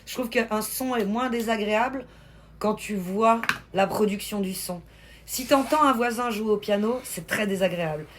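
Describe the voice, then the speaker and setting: A woman's voice: medium pitch, slight background noise. A young woman hosting a livestream, chatting casually, teasing her guests and thanking viewers. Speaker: livestream host.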